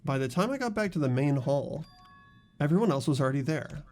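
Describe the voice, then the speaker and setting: Higher-pitched man hosting a game playthrough. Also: expressive, brisk, darkish tone. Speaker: host of a voiced game playthrough. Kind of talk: casual chat and game narration with friends. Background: game music.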